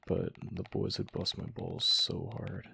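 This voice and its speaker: A man playing a young gamer boyfriend in an ASMR roleplay, reluctant but affectionate, with halting, slangy, casual talk. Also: flat delivery, pushed and bright, a little hiss or hum, heavily acted. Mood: soft, reluctant, affectionate. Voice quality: deep, gravelly, breathy murmur at times. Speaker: voice actor in boyfriend roleplay audio.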